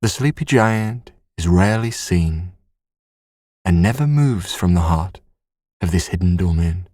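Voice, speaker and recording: Very slow, low-voiced man telling bedtime stories. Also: lively intonation, dry recording, clean studio sound. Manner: soothing, measured, hushed bedtime delivery. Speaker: bedtime story narrator. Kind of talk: guided sleep story, scripted narration.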